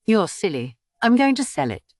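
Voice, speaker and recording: Female narrator as a mischievous young woman, teasing, wistful, resolute, lively swooping intonation, dry close sound, audiobook character. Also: mid-range voice, normal speed, no echo, pitched above the performer's own voice.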